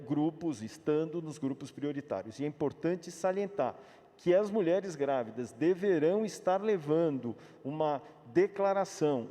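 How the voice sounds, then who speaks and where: A man's voice: fairly high pitch, warm tone. A man, the state health secretary, giving a formal clarifying answer at a press conference. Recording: reverberant hall.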